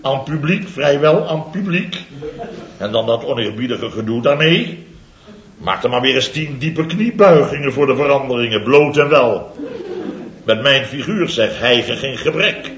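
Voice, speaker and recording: A man's voice: mid-range voice, lively intonation, measured pace, lightly acted. An actor playing a pompous, self-important middle-aged construction company boss who chuckles through his own boastful stories, in 1960s radio comedy style. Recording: dull roomy old radio recording.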